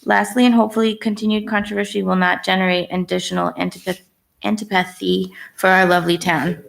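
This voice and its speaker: Woman with a very gravelly voice, measured and formal, reading public comment at a podium. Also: medium pitch, flat delivery, rough recording. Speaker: resident giving public comment. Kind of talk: reading a prepared statement. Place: town hall meeting room.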